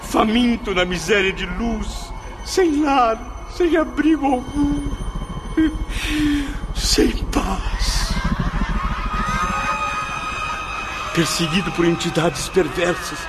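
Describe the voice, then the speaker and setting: A man's voice: high-pitched, very animated, slow, over loud music, full character voice. An actor playing the anguished, proud, blind ghost of a male writer, lamenting and pleading theatrically in a radio drama. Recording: noisy radio drama with ambient sound.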